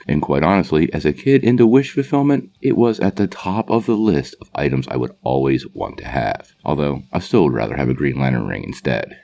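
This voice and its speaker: A man's voice: extremely low pitch, vocal fry, dark tone. A man hosting a comic book channel, narrating a scripted explainer to viewers in a casual, enthusiastic fan's way.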